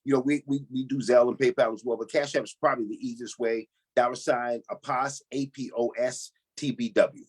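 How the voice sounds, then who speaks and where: A man's voice: medium pitch, steady tone, fairly quick, few pauses, breathy edge. A man who is a pastor, speaking as an interview guest on a video call, emphatic and repeating words. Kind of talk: casual interview talk.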